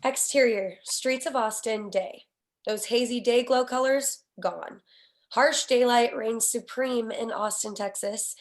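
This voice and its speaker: A woman's voice: fairly high pitch, unhurried, poor audio. A woman narrating screenplay stage directions in a scripted read, with wry, sassy asides.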